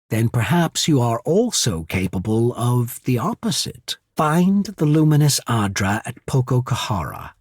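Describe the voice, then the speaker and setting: A man's voice: medium pitch, darkish tone, husky, no room sound, studio quality. A man voicing a composed, courteous and shrewd diplomat of an island empire in a fantasy video game, speaking formally and with understatement. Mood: measured, courteous. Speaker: voice actor for a video game character. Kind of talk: scripted fantasy game dialogue.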